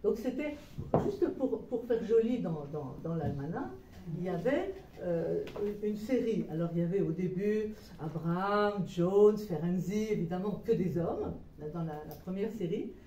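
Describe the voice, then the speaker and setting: A woman's voice: on the low side, lively intonation, moderate pace, warm tone, a little hiss or hum. A woman presenting her book to a small audience in a meeting room. She tells it as a digressive story, full of hesitations and restarts. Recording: roomy echo, voice far from mic.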